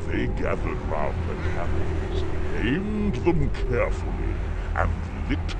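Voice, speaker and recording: An actor voicing a brutish, proud war engineer and shaman in a video game, telling tales in a deliberate, boastful way. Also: deep voice, huge pitch swings, creaky, heavy background noise, full character voice. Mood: proud, gloating. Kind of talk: video game character dialogue.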